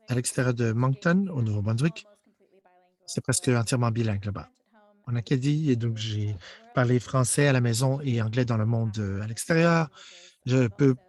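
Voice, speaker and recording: A man voicing a live interpretation of a panel discussion. His delivery is halting, and he restarts and rephrases his sentences on the fly. His pitch sits in a plain middle range for a man. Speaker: simultaneous interpreter.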